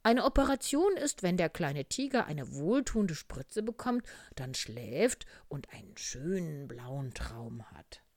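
A woman voicing a brisk, self-assured, formal male frog doctor with emphatic, clipped pronouncements in a children's storybook. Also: deep voice, big pitch swings, darkish tone, no room sound, clean studio sound, pitched above the performer's own voice, exaggerated voice. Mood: reassuring.

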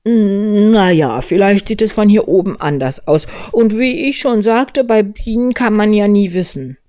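Casting: woman as a male toy bear for a storybook, high-voiced, naive, ponderous and earnest, close dry sound. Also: unhurried, warm tone, no echo, close to an everyday voice. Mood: worried, hopeful. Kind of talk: storybook character voice.

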